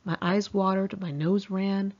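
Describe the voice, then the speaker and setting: A woman's voice: medium pitch, little pitch movement, measured pace, a little mellow, muffled recording. An American woman reading her own memoir in a conversational, candid and wry, self-mocking way. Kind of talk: scripted audiobook narration, conversational.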